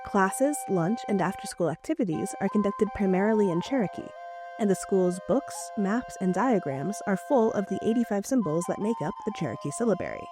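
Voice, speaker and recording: A woman radio reporter narrating a scripted news feature in a measured, clear delivery. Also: medium pitch, expressive, music in the background.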